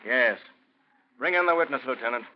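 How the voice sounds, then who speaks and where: Actor as a stern police inspector, dull old recording. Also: male voice, mid-range voice, expressive, normal speed, natural voice. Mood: stern, pressing. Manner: firm, interrogating. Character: stern, pressing New York police inspector. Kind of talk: 1940s radio drama acting. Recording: dull, noisy 1940s radio recording.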